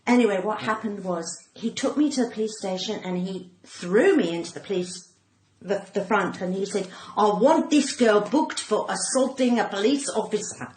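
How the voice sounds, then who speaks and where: A woman's voice: medium pitch, lively intonation, moderate pace. Older Englishwoman, well-spoken dramatic storyteller, recorded in an echoey room with faint noise. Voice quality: cut-glass, well-spoken. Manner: dramatic, acting out quoted speech. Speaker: podcast guest, comedian and actor. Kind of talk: candid anecdotal storytelling in an interview. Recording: roomy echo, faint background noise.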